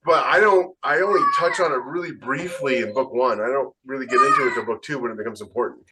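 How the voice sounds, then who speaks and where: A man's voice: mid-range voice, fast, very noisy. A man chatting casually as an author on a podcast panel.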